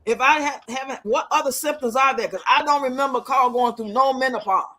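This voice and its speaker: A woman's voice: sits high, a little fast, bright, forceful tone. A woman of fifty-nine hosting a casual livestream, chatting with viewers in a blunt, outspoken, colloquial way. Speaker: livestream host.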